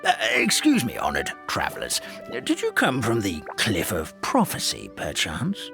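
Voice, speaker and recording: A man's voice: on the low side, wildly swooping pitch, unhurried, creaky, music in the background, full character voice. A man playing a chubby hamster elder in a video game, fussy and hesitant, with formal old-fashioned courtesy. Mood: timidly polite.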